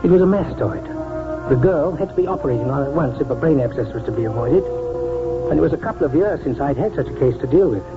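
A man's voice: mid-range voice, lively intonation, fast, narrow, tinny audio, close to an everyday voice. An actor playing an elderly, weary village doctor, musing and repeating himself as he narrates a 1940s radio drama. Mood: preoccupied. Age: older. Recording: 1940s radio broadcast, thin band, loud music.

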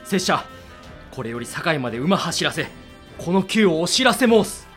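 Casting: devoted samurai-era merchant messenger, high-pitched, pressing and respectful, period radio play. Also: male voice, big pitch swings, normal speed, fairly bright tone, faint music behind. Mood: grave, urgent. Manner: deferential archaic speech, emphatic. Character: loyal, urgent merchant retainer of the warlord era. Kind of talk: Japanese period audio drama acting.

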